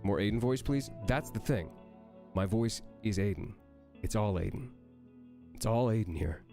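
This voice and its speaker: A man's voice: lowish voice, moderate pace, mellow, soft background music. A young man, a voice actor, reading out and answering chat questions on a livestream, with a nasal voice that sounds like he has a cold, playful and joking.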